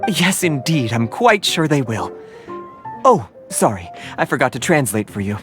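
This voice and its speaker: A woman's voice: a bass voice, sing-song, a little slow, faint music behind, exaggerated voice. A woman voicing a composed, courteous tavern host in a video game, explaining things in a formal, helpful way.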